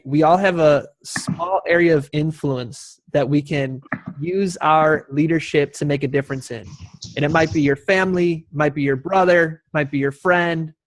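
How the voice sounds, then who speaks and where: A man moderating a live online town hall, courteous and thankful as he addresses guests and audience with devout phrasing. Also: a little high.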